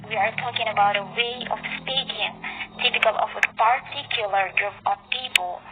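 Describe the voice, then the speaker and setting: A woman with an Indonesian accent sharing an upbeat, earnest opinion in a voice message. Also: on the high side. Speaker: discussion participant. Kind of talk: prepared voice message on a topic. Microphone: phone voice message. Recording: phone-like audio with clear noise.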